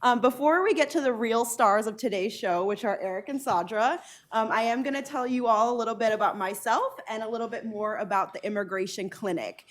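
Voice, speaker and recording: A woman law professor in her thirties, originally from Arizona, speaks at a panel event. Her voice sits slightly high, and she sounds earnest and a little informal, with frequent ums. She is heard from a short distance off the microphone. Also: brisk. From Arizona, United States. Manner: earnest, frequent ums, occasional light laughs.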